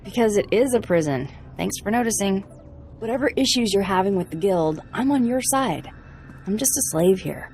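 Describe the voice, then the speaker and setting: Sardonic captive hacker played by a woman, lower-pitched. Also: animated, normal speed, darkish tone, a little hiss or hum, lightly acted. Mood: sarcastic. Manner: dry, sarcastic. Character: sardonic enslaved female hacker, defiant and bargaining. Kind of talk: video game dialogue acting.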